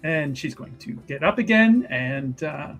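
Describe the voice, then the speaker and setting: A man playing a tabletop roleplaying game, improvising his character's actions in a halting, wordy way. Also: on the high side, lively intonation, fairly bright tone, faint music behind.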